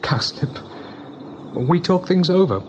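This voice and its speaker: A man's voice: high-pitched, animated, slow, muffled recording, noisy background. A man playing a brave but cautious young rabbit leader, earnest and guarded, in an eighties radio drama. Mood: puzzled, polite. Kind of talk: 1980s radio drama acting.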